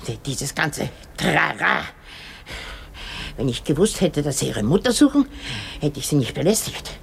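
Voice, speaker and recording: A woman's voice: low-pitched, very animated, measured pace, creaky, slight background noise, heavily acted. An actress playing an elderly, cantankerous, self-pitying woman in a radio drama, exclaiming and groaning with effort. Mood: weary, sardonic.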